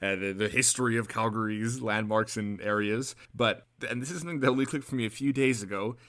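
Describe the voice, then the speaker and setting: A man's voice: fairly low pitch, a little fast, a bit bright. A man co-hosting a casual podcast interview, asking questions with enthusiasm and joking banter.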